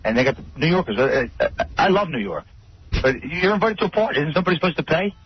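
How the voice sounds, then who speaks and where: A man's voice: on the high side, animated, fast. A man from Philadelphia calling a radio show, casually telling a rambling, aggrieved story. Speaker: caller. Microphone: telephone. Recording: muffled radio call, faint noise.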